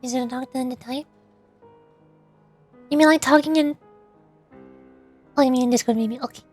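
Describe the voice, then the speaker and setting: A woman's voice: sits high, fairly even intonation, normal speed, soft background music. A young woman streaming a game, chatting casually and rambling with laughs and restarts.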